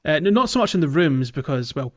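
A man's voice: a little high, big pitch swings, fast talker, muffled recording. A man hosting a podcast, talking casually and reflectively about video games, with some hesitation as he goes. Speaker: podcast host. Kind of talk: casual video game discussion.

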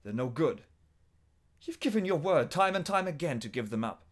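A man's voice: fairly high pitch, sing-song, normal speed. A man playing a stern, exasperated, long-suffering husband, with a weary, indignant delivery in melodramatic radio horror style.

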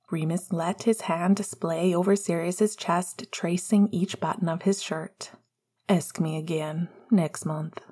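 A woman reading romantic fiction as an amateur narrator, in a soft, intimate, breathy way. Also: lowish voice. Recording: faint music behind voice. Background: soft music.

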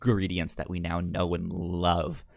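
Male podcast co-host, lowish pitch, conversational and halting, frequently repeats syllables while discussing film. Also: completely flat delivery, gravelly.

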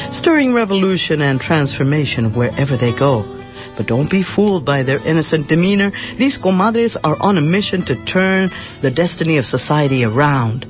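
Guy with a high voice narrating a radio play, teasing tone, faint music, lo-fi.

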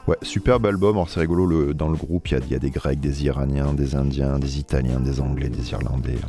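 A man's voice: extremely low pitch, steady tone, fast, warm tone. A man with a French accent hosting a radio music show, talking casually with many hesitant filler sounds.

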